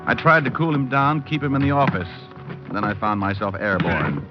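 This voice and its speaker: An actor playing a cocky, wisecracking hardboiled private detective who narrates with deadpan humor in an old-time radio drama. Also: male voice, fairly low pitch, normal speed. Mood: wry narration. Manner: deadpan, flippant wordplay in narration and dialogue. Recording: muffled old radio recording with music.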